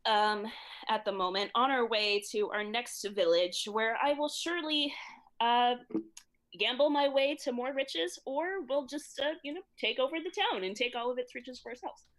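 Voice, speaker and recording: A woman's voice: on the high side, moderate pace, a bit bright, low-quality recording. A woman from Texas running a tabletop game as game master, easygoing and thinking aloud.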